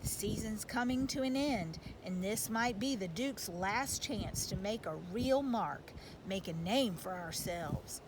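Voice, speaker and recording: A woman's voice: medium pitch, expressive, a little slow, few pauses, close to an everyday voice. A woman voicing a proud, loving father who coaches baseball in a storybook, with folksy phrasing. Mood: excited, proud. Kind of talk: storybook read-aloud. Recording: loud background noise.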